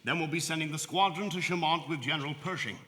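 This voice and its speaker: An actor playing a brisk male army officer in charge, commanding and to the point. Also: a little high, pushed and bright, in a large hall.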